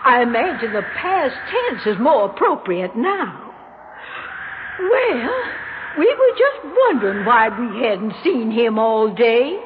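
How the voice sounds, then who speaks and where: A woman's voice: a little high, very animated, slow, phone-quality sound, background noise. An actress playing a cranky, bossy old mother in a 1960s radio drama, scolding in genteel, old-fashioned phrases. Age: older. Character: imperious, cranky old mother, darkly matter-of-fact about death. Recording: band-limited 1960s radio recording with music.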